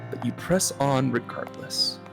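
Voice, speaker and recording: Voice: mid-range voice, a little slow, creaky, over music. A game streamer co-hosting a visual novel playthrough, moving between dramatic narration and casual chat.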